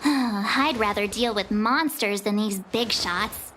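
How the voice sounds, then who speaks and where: A woman voicing a playful, cheeky young girl adventurer in a video game, with a teasing, expressive, informal delivery. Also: medium pitch, slow pace, slight background noise. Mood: playful, sheepish. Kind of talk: English video game voice acting. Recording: music under the voice.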